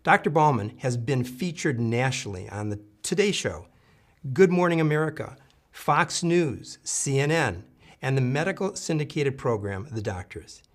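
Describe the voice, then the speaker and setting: A man's voice: medium pitch, animated, moderate pace, no room sound. A man speaks as an interview host, with a middle-range voice. The sound is dry and close, with no room on it, as he presents and asks questions. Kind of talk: scripted introduction and interview questions.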